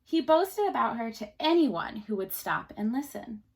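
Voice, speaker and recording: A woman teacher reading a storybook aloud to children, pausing to explain things simply and ask questions. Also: on the high side, expressive, gravelly, breathy edge.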